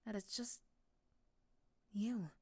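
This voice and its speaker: Soft-spoken woman narrator, very dry sound. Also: medium pitch, very slow, slightly rough, dry recording. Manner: soft, often dropping to a whisper. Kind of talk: scripted second-person narration, ASMR romance.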